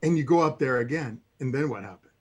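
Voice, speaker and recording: Cuban-born man, guest, lively swinging pitch, slightly husky voice, excitable storyteller. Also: quick pace. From Cuba. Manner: excitable storyteller, bursts into loud high cries. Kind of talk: casual chat.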